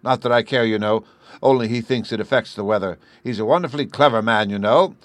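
A man voicing a kindly, jolly father who chats loudly and fondly in a storybook reading. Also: mid-range voice, fast, no echo, natural voice. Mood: admiring. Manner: hearty, loud, fond.

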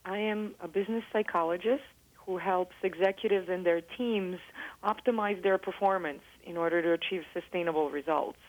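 A woman from Serbia with a Serbian accent, a business psychologist answering interview questions in a measured, articulate way. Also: on the low side, highs cut off.

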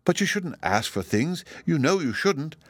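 A man's voice: a little high, big pitch swings, normal speed, breathy edge, no room sound, clean studio sound, voice pushed up. A man voicing a prim, bossy but caring young girl in a storybook, careful and chiding.

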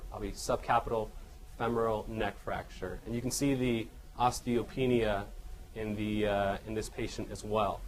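A man presenting radiology cases as a teaching lecture, halting and often correcting himself, with questions to his listeners. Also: fairly low pitch. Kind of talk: medical case lecture with questions to the audience. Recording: noisy live lecture room recording.

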